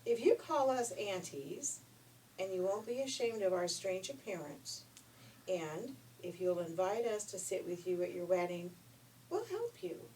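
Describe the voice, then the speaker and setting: A woman's voice: medium pitch, very animated, a little slow, warm tone, far from the mic, slight background noise, low-quality recording. A woman voicing a strange, kindly old spinner auntie from a fairy tale in a folksy, matter-of-fact way. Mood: coaxing, helpful.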